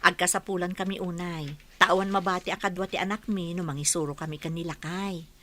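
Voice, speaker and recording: A woman's voice: fairly low pitch, steady tone, brisk, fairly bright tone, lightly acted. A woman playing a kindly village schoolteacher in a radio comedy-drama, polite and coaxing. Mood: welcoming, advising. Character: kindly village schoolteacher offering household work.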